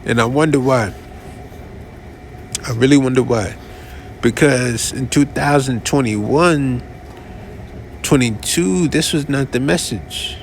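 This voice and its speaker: Halting, conversational podcast man. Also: mid-range voice, a little hiss or hum. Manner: conversational, halting with restarts and asides. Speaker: podcast host. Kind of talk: casual commentary.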